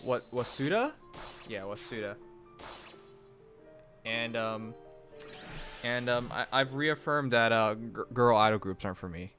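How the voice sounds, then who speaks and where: Lively young man streamer, bright voice. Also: medium pitch. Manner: animated, rambling, quick to laugh. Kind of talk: casual stream chat. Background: faint music.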